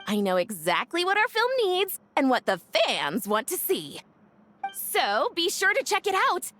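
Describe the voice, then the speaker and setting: Bright, breezy young film director. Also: female voice, sits high, huge pitch swings, measured pace, slight background noise, exaggerated voice. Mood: breezy confidence. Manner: breezy, confident, theatrical. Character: spirited, self-assured young female film director. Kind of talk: animated game voice acting.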